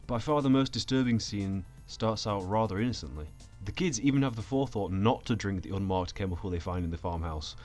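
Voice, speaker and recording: Low-voiced man, deadpan commentary over music. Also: fairly quick. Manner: deadpan, sweary. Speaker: video essayist. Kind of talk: sardonic scripted commentary. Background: background music.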